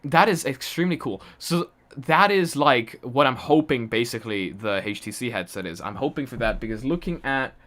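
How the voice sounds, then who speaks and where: A man's voice: medium pitch, animated, moderate pace, a bit bright. A young man from Poland chatting casually on a live stream, reading chat aloud and laughing often.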